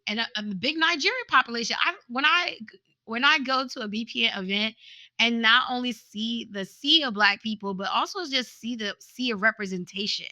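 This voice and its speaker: A woman born in the United States to Haitian parents, a guest in a casual interview, talking in an animated way with frequent laughs and many restarts. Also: on the high side, dry recording. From USA, born to Haitian parents. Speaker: podcast guest, nonprofit founder.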